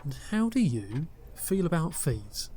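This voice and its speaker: Man as a courteous, higher-pitched adventurer. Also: very animated, unhurried, dark tone. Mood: diplomatic. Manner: polite, diplomatic. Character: courteous, reassuring male adventurer leading interviews. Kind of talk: fantasy audio drama acting.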